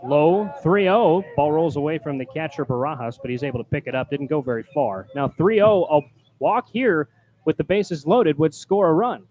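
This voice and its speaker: Higher-pitched male baseball play-by-play announcer. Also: normal speed, dull audio. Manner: loud, projecting on calls. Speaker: baseball play-by-play announcer, also doing public address. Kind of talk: live play-by-play sports commentary. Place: press booth at a ballpark. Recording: clear background noise.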